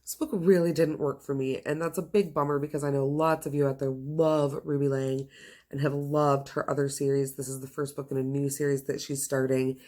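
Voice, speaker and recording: Low-voiced woman, enthusiastic book vlogger. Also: flat delivery, brisk, a little mellow. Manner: enthusiastic, gushing, emphatic. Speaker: book reviewer host. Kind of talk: casual book recommendation vlog.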